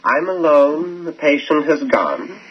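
An actor playing a guarded, businesslike male dentist, speaking in a measured way in a radio drama. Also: on the high side, lively intonation, a crowd in the background. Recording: dull, noisy old radio broadcast.

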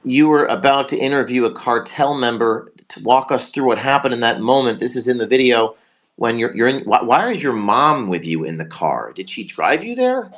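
Hoarse male talk show host. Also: mid-range voice, expressive, a bit bright. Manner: rapid, rambling, promotional. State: hoarse from a cold. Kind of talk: live online talk show.

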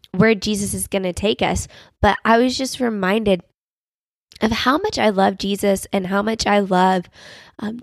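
Chatty young woman, gravelly voice. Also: medium pitch, no echo. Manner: chatty, earnest, lots of fillers like "um" and "like". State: recovering from a sinus infection, voice cutting in and out. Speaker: podcast host. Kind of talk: casual devotional podcast talk.

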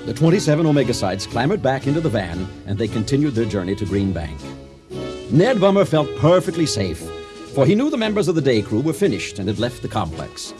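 Actor as a wildly expressive male narrator. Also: medium pitch, brisk, over music, close to an everyday voice. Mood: narrating, dramatic. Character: folksy, theatrical storyteller presenting a space tale. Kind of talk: theatrical radio drama narration.

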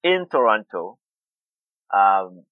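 A man's voice: fairly low pitch, big pitch swings, slow pace, dry recording. Middle-aged guy from guyana, thoughtful, repeats himself a lot, dry tinny phone sound. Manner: thoughtful, repeats words while searching. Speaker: urban farmer and food activist. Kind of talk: interview guest.

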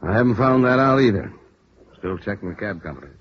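A very deep-voiced American police lieutenant. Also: male voice, lively intonation, husky. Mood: weighing, frank. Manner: deliberate, emphatic, matter-of-fact. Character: seasoned, businesslike male police lieutenant, firm and frank. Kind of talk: 1950s radio drama acting. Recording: muffled, hissy old radio recording.

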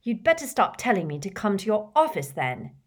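A sulky, defiant teenage boy wizard from a storybook, voiced by a woman reader. He sneers and snaps back, his voice pushed up and louder than the narration. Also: medium pitch, big pitch swings, fairly quick, husky, pitched above the performer's own voice. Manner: sneering, resentful.

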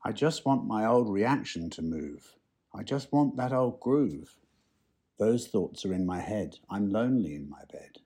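A man reads verse in a deep, husky voice. His tone is dark and mellow, and he takes the lines very slowly. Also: lively intonation. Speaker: poem reader. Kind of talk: poetry reading.

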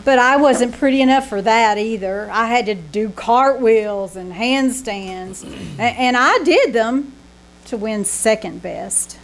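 Woman playing slow, theatrical melodramatic actress. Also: fairly high pitch, lively intonation, poor audio. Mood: wistful. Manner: florid, stagey, dramatic declamation. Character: theatrical, melodramatic actress, wistful underneath, playing wronged innocent. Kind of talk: old-time radio acting on stage. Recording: live theater recording with faint noise.

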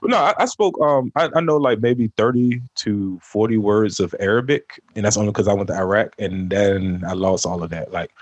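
A man with a low, husky voice, recorded dry and close. He stammers and repeats himself in casual chat. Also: normal speed, few pauses, no room sound, muffled recording. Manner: stammering, self-conscious, laughs often.

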